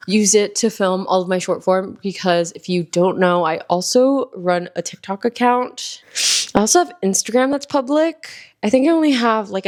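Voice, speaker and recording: A young woman in her early twenties, from Miami, chatting casually as a solo podcast host, rambling with lots of ums and likes. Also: mid-range voice. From Miami, Florida.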